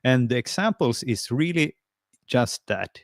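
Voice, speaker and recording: A man's voice: medium pitch, expressive, unhurried, dry recording, clean studio sound. A man from Sweden, presenting a friendly, hesitant screen-share tutorial over a video call, with lots of fillers and restarts. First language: Swedish. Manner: friendly and encouraging.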